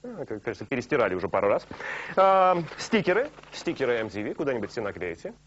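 A man's voice: medium pitch, fast, slight background noise. A young man hosting a late-night TV show from a studio sofa, talking live with a joking, teasing manner. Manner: joking, teasing, lively and animated. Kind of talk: playful live studio banter. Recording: dull lo-fi broadcast tape.

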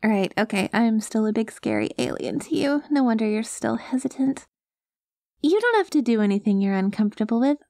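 A woman voicing a cute, gentle alien girl in an ASMR roleplay, naive and literal, with a hesitant, reassuring manner. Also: fairly high pitch, big pitch swings, a little fast, no echo, clean studio sound. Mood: anxious, apologetic.

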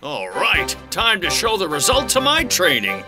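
A woman voicing an earnest fire truck character in a children's cartoon, eager but easily discouraged, with an expressive delivery. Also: fairly low pitch, normal speed. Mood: determined. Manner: expressive, exclamatory. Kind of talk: animated children's cartoon dub acting. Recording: music under the voice.

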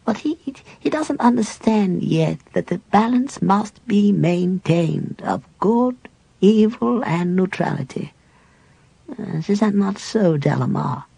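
Old dying cleric played by a man, lifted and slow, gentle and breathy, dark tone, for a fantasy audiobook. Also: high-pitched, expressive, no echo, full character voice. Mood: reflective. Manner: slow, lilting and tender, with soft whispery breaks.

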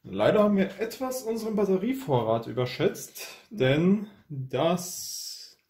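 Gravelly-voiced man presenting an unboxing. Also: high voice, very animated, measured pace, darkish tone. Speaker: unboxing video host. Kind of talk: casual hands-on commentary. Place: indoor room at a tabletop.

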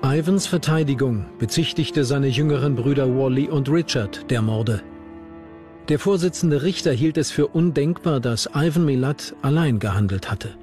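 A man's voice: medium pitch, moderate pace, darkish tone, soft background music. A man reading scripted narration for a true-crime documentary.

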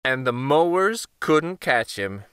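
Lively man reading a picture book aloud. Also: mid-range voice, smooth, dry recording, clean studio sound. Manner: expressive, deliberate storytelling. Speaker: children's book reader. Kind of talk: storybook read-aloud.

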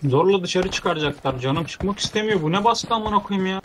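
A man with a slightly high voice chats casually and roughly with his squad while gaming. He talks very quickly. Clear background noise with gunshots and clicks surrounds him. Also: expressive. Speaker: mobile shooter game player. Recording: noisy game voice chat with gunfire. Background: game gunfire and clicks.

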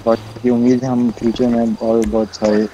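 A man improvising roleplay as a wealthy, generous businessman host, speaking politely and haltingly with repeated phrases. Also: mid-range voice, flat delivery, warm tone, highs cut off, a little hiss or hum. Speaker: roleplay player. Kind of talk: improvised in-character roleplay dialogue.

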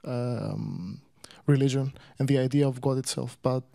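A young man, a native Greek speaker, telling his own story in English to a guest, hesitant with many pauses and restarts. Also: medium pitch, vocal fry, dark tone. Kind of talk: personal story told to a guest.

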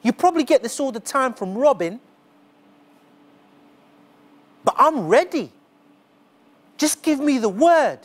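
A man on stage playing a lonely young boy who begs to be a sidekick, speaking earnestly in a theatrical spoken-word monologue. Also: sing-song. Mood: eager. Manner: earnest, slow and deliberate, rising pitch on key words. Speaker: stage performer. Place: dark smoky theatre stage.

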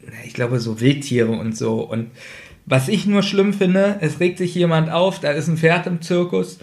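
A man's voice: on the high side, moderate pace, darkish tone. A man co-hosting a podcast, chatting casually in a back-and-forth discussion. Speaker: podcast co-host.

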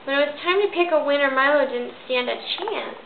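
A woman in her thirties reads a picture book aloud at home, lively and expressive. Her voice sits a little high. The sound is thin and phone-like, with a roomy echo in a home bedroom. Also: brisk, creaky, slight background noise. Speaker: storybook reader. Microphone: camera in front.

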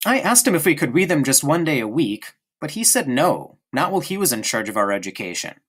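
A man's voice: on the high side, sing-song, fairly quick, fairly bright tone, slightly rough, exaggerated voice. A man voicing a bold young boy who complains at dinner and teases his sister. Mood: complaining.

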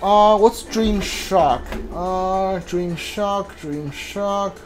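A gamer giving casual, hesitant commentary on a playthrough, often muttering to themselves in a halting way. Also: mid-range voice. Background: video game music.

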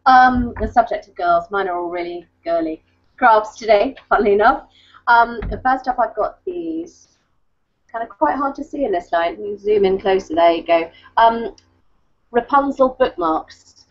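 A woman's voice: on the high side, lively intonation, unhurried. A woman in her thirties chatting casually as she shows crafts, often interrupting herself, on a video call from home. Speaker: craft blogger. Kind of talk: casual craft show-and-tell. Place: book-lined room at home. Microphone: webcam video call. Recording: dull lo-fi video call audio.

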